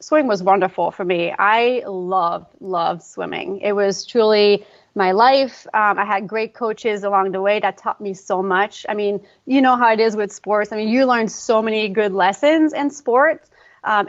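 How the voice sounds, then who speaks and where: A woman from Quebec City with a Quebec French accent, a fitness coach guest talking casually and warmly with many ums; French is her first language. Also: fairly high pitch, highs cut off. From Quebec City, Canada. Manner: friendly, with frequent ums. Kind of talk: casual interview.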